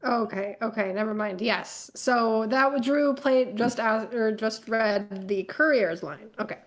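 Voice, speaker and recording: A woman's voice: on the high side, unhurried, no room sound. A woman chatting casually among a group of fanfiction readers. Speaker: cast member of a fanfiction reading group. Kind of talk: casual chat.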